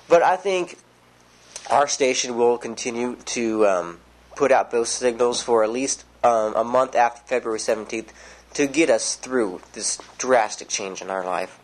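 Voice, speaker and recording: A young man playing a news anchor in an amateur mock newscast, with a mock-serious, deadpan delivery. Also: mid-range voice, moderate pace, poor audio.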